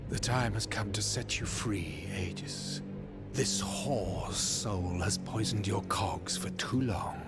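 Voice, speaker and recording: An actor playing a cold, contemptuous villain in a video game, speaking with menace. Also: male voice, on the low side, in a whisper. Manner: menacing, deliberate. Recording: loud music over the voice.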